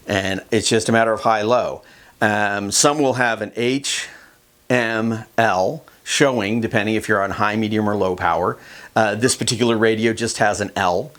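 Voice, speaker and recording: Low-voiced man casually explaining gear. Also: a bit bright. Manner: plain-spoken, conversational explaining. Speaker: kayak fishing instructor. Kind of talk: casual instructional demonstration.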